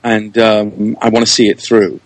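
A man talking casually as an interview guest, thinking aloud and pausing as he works out his answers. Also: on the low side, monotone, low-quality recording. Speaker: interview guest, show creator, writer and director.

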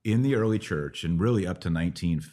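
A middle-aged American man with a notably low voice hosts a question-and-answer talk. He explains in a conversational, instructive way, often stopping to pose a rhetorical question and then continuing. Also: expressive, fast talker, dark tone. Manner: didactic, checking with rhetorical questions. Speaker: podcast host answering religious questions. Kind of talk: conversational Q&A teaching.

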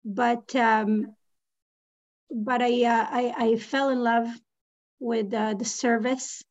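A woman's voice: a little high, little pitch movement, slow pace, dull audio. A woman in her thirties, originally from Israel, speaking as a guest in an interview, hesitant with many fillers and restarts. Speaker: guest, real estate lending executive.

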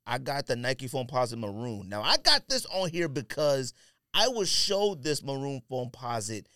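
A man's voice: mid-range voice, sing-song, moderate pace, bright, forceful tone, no room sound, studio quality. A man co-hosting a podcast, chatting casually in a slangy, offhand way about his picks. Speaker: podcast co-host. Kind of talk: casual sneaker and sports podcast banter.

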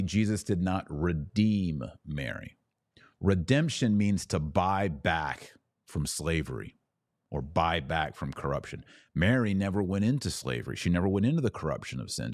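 Male podcast teacher, deep register, very dry recording, didactic and emphatic, answering listeners with weighted key words. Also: very animated, normal speed, a little mellow, no room sound. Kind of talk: live theological teaching and viewer Q&A.

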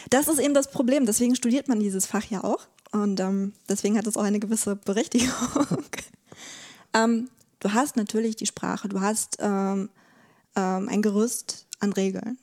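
A woman's voice: medium pitch, steady tone, moderate pace, darkish tone. A woman explaining as an expert guest, hesitantly searching for her words. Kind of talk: explanatory conversation.

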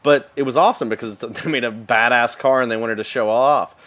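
A man's voice: medium pitch, fairly quick, fairly bright tone. A man chatting casually as a co-host of a video game podcast. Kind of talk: casual chat.

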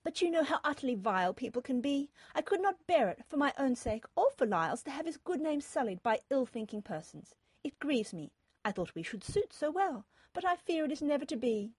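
A woman's voice: sits high, very animated, fairly quick, darkish tone, dry recording, voice pushed up. A woman voicing a wily young heiress in a historical romance reading, dainty, haughty and affectedly sorrowful. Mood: feigned sorrow.

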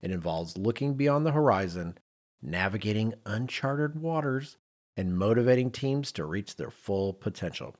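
A man's voice: lowish voice, animated, normal speed. A man hosting a podcast in a conversational, emphatic, self-deprecating way, moving between chat and read-out notes. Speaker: podcast host.

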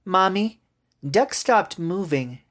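An innocent, earnest little girl from a storybook, as acted out by a male narrator, speaks in a raised, childlike voice. The delivery is pleading and wide-eyed, with lively swings of pitch. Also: takes it slowly, full character voice. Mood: sad, pleading.

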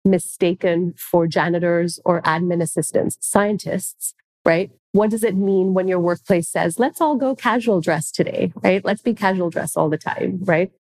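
A woman's voice: lowish voice, normal speed, a little mellow, poor audio. A woman speaking on a panel, making her case through pointed rhetorical questions. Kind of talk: panel discussion.

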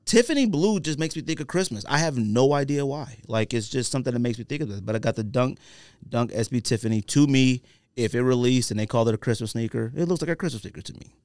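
A man's voice: mid-range voice, quick pace, a little mellow, dry recording. Man, podcast host, mid-range voice, slangy, opinionated, casual chat, dry close sound.

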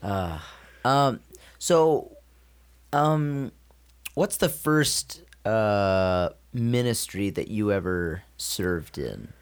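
American guy hosting a casual interview, really slow talker, halting questions. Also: on the low side, expressive, clean tone. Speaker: interviewer, host.

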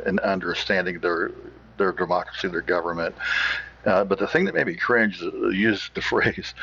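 A very low, husky American man talks like a host explaining things off the cuff. He pauses and searches for words, and the recording is slightly dull. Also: a bit bright. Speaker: host of an old-time radio show. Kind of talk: informal explanatory commentary.

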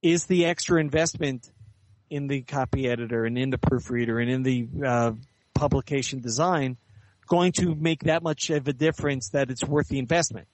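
A man giving measured, articulate, explanatory answers as an author and editor being interviewed in conversation. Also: mid-range voice, a little mellow, low-quality recording.

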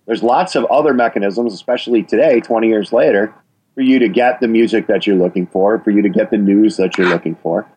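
A man's voice: on the low side, brisk, a little mellow, slight background noise, poor audio. A man from Wisconsin with a Wisconsin accent, talking as an interview guest and stressing his points with repeated phrases. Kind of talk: interview about radio policy.

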